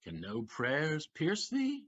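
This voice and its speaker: A man playing a hot-tempered, scornful gentleman in a Shakespeare audio play, sneering and declamatory, on a muffled recording. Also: a little high, wildly swooping pitch, slow, heavily acted. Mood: scornful anger.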